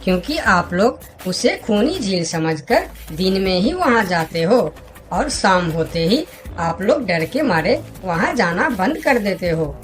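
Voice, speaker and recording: A woman voicing friendly talking forest animals in a cartoon fable, with expressive, measured delivery. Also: mid-range voice, soft background music. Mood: earnest, pleading. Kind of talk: cartoon story character dialogue.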